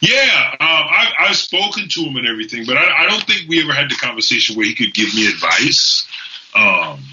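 A man's voice: mid-range voice, very animated, brisk, pushed and bright, dull audio. A man in his forties from Trinidad and Tobago, a podcast guest chatting casually and rambling with many fillers. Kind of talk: casual interview chat.

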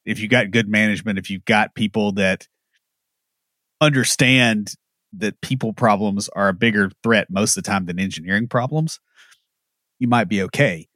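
A man's voice: lowish voice, moderate pace, a bit bright, dry recording, clean studio sound. A man co-hosting a podcast, giving blunt, wry opinions and anecdotes. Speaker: podcast co-host. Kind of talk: casual opinionated chat.

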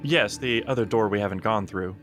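A man's voice: on the low side, fairly quick, music in the background. A man chatting casually as a player in a tabletop game, with a playful, jokey manner.

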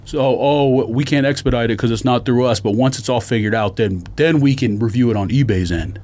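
A man's voice: mid-range voice, fairly quick, a little mellow. A man telling a story as a casual podcast rant, slangy and exasperated, acting out both sides of exchanges. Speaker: sneaker podcast co-host.

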